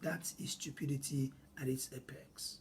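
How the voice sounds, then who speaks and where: A man's voice: mid-range voice, level tone, moderate pace, a little hiss or hum. A man from Nigeria with a Nigerian accent, a native Igbo speaker, hosting an earnest, emphatic solo talk on money. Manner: earnest, emphatic, repeats phrases for stress. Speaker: host.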